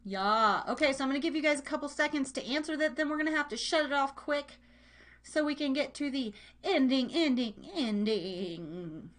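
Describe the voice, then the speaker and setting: A woman's voice: sits high, normal speed, fairly bright tone. A woman hosting a live internet radio show, chatting casually in a playful, rambling way and repeating her phrases as she runs games.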